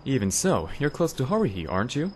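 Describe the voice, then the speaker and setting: An actor playing a baffled high school boy in an anime fan dub, grumbling and incredulous. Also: very animated, quick pace, very noisy, close to an everyday voice. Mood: bewildered.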